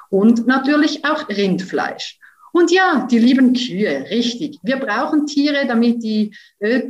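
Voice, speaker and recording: Young Swiss woman presenting on a video call. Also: fairly high pitch. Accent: Swiss German. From Switzerland. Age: young adult. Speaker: science slam presenter. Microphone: video call, computer mic. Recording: roomy, distant, dull sound.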